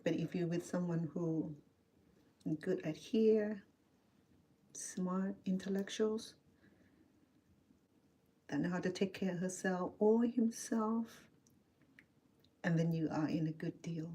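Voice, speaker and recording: A woman's voice: lowish voice, slow, long pauses, mellow, slightly rough. A middle-aged woman from Cambodia with a Cambodian accent, chatting casually with livestream viewers while cooking in her home kitchen. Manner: chatty, answers viewer comments, laughs often.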